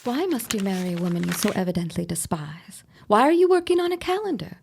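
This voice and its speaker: An actress playing a sardonic, suspicious accomplice in a period audio drama, speaking in a deliberate, theatrical manner. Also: female voice, medium pitch, sing-song, vocal fry, heavy background noise. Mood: sly, suspicious.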